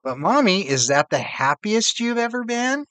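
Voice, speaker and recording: A man voicing a curious, affectionate little boy in a storybook, asking his mother eager questions that rise in pitch. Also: sing-song, moderate pace, sharp tone, clean tone, no echo, exaggerated voice.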